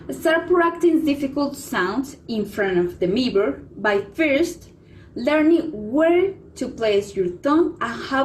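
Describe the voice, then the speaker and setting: A woman's voice: high voice, slight background noise, poor audio. A woman student reading pronunciation tips aloud for a class, with a hesitant delivery and occasional slips. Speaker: student presenter.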